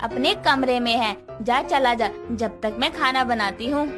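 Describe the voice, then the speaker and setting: A woman's voice: a little high, a little slow, over music, natural voice. A woman voicing a blunt, homely middle-aged mother-in-law in a cartoon story. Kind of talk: Hindi cartoon story voice acting.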